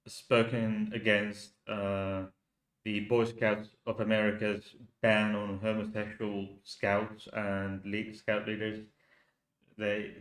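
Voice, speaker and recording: A slow, halting man with a Finnish accent talks loosely to his viewers, his voice sitting a little low. He restarts his thoughts often as he goes. The sound carries a roomy echo. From Finland. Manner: hesitant, halting with many restarts. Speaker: channel host. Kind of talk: casual live stream talk.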